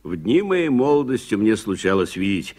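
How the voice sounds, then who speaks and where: An actor playing a proud, gruff old frontier businessman in a radio play, speaking brusquely and emphatically. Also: fairly low pitch, big pitch swings, normal speed, darkish tone. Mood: confident, expert. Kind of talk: Soviet radio play acting.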